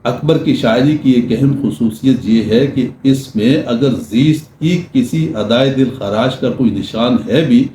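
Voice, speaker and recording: An older man giving a literary talk in measured, formal diction with deliberate pauses, reading prose and reciting verse. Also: mid-range voice, warm tone. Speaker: lecturer. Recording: roomy echo with faint background noise.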